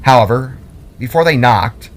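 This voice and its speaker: A man reading scripted fantasy story narration in a dramatic, deliberate storytelling manner. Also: mid-range voice, lively intonation. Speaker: author and narrator. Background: music.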